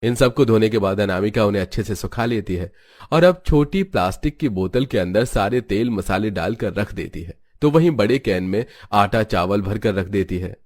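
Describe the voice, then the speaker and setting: A man's voice: fairly low pitch, lively intonation, normal speed, darkish tone, no echo. A man giving scripted narration of a story.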